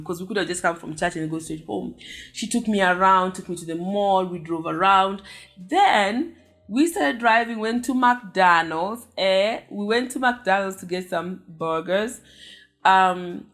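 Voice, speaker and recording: Animated Kenyan woman telling a story. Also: medium pitch. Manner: animated, exclamatory, chatty. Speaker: vlogger. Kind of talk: casual storytelling to viewers.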